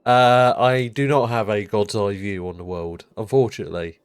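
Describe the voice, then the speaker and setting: A man's voice: fairly low pitch, fairly even intonation, normal speed, smooth, no room sound. A man streaming a city-building game, chatting casually and rambling, his nose blocked up because he just rolled out of bed.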